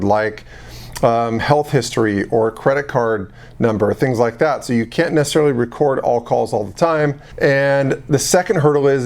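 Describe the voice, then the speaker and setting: A man's voice: medium pitch, darkish tone. A man presenting an informal explainer as a consultant, talking straight to the viewer in a conversational, salesy way. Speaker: call center software consultant and video presenter. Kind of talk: informal explainer talk to camera.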